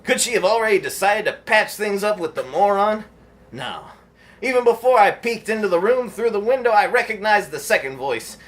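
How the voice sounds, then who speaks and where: A bright, sleazy cartoon bachelor. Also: male voice, high voice, very animated, normal speed. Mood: eager, lecherous. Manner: leering, theatrical, punchy. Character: sleazy, lecherous middle-aged male cartoon bachelor neighbour. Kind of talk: comedic first-person story reading.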